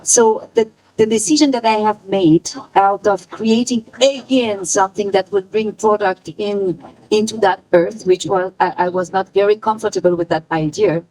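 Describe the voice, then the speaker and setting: A woman speaking on a panel about the fashion brand she founded, halting and emotional, often searching for her words. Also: medium pitch, steady tone, darkish tone, rough recording. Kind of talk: panel talk.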